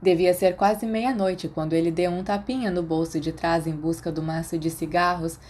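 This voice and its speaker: A Brazilian woman narrates a story in a scripted audiobook style. Her voice sits fairly low for a woman, with a very soft, dark and mellow tone. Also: steady tone, normal speed. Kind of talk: scripted fan fiction narration.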